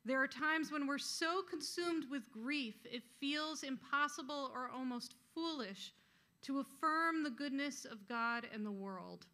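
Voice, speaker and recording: A woman reading prayers aloud to a congregation in a measured, solemn way. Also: high-pitched, bright, forceful tone. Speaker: student prayer leader.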